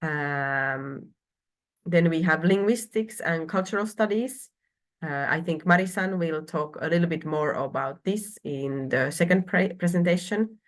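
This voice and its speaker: A woman's voice: sits low, unhurried. A woman from Finland in her thirties presenting a university program online, with frequent hesitations and filler sounds.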